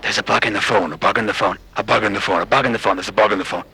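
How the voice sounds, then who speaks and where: A man voicing a panicked man urgently repeating himself in a loud whisper, close to the microphone. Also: on the low side, steady tone, racing, creaky, slight background noise. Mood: panicked urgency. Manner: frantic, repetitive.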